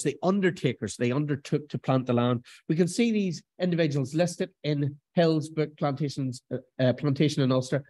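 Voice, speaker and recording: Man, fairly high voice, explanatory lecture, lecture room. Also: a little mellow. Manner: explanatory, conversational, with frequent restarts. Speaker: genealogy workshop presenter. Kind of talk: recorded lecture presenting slides.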